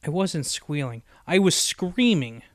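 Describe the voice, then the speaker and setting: Guy doing a nerdy unicorn girl in a story reading, bit snippy, explains things precisely, highish voice. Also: big pitch swings, a little slow, dry recording, clean studio sound. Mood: annoyed, lecturing. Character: bookish, exasperated young female unicorn pony. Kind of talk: acted audiobook dialogue.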